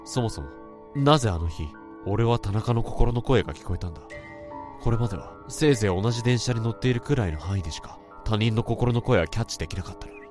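A man's voice: fairly low pitch, lively intonation, quick pace, over music, close to an everyday voice. An actor playing a tense office worker who narrates his own inner thoughts in a radio drama. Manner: tense, brooding, rising to urgent shouts. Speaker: narrator and protagonist.

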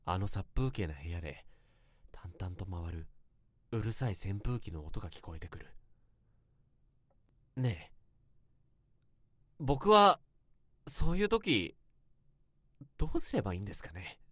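A man's voice: low-pitched, very animated, measured pace, pauses often, a bit bright, no room sound, exaggerated voice. An actor playing an earnest, self-reproaching young man who confesses his regrets in an emotional radio drama monologue. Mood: bittersweet. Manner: emotional first-person confessing, swinging into outbursts.

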